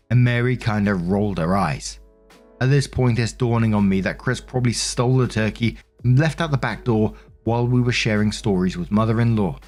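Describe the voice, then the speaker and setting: Man, low-pitched voice, conversational story narrator, close mic, music behind. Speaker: host and narrator of a story show. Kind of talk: conversational commentary and reading aloud of online stories. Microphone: close studio-style microphone.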